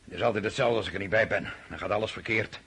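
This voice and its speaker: An actor in a radio play voicing a vain, impatient emperor who speaks in a curt, commanding, self-satisfied way. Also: male voice, on the low side, fast talker, creaky, fairly bright tone, slightly rough, heavily acted. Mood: irritated. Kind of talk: radio drama acting.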